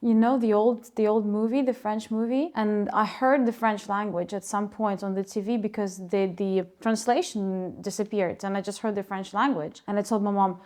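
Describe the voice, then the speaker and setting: A woman's voice: medium pitch, steady tone, moderate pace, few pauses, darkish tone. A woman in her thirties from Ukraine, a native Russian speaker, talking through her story as a school co-founder in an interview, chatty with frequent asides. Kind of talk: interview answers to camera.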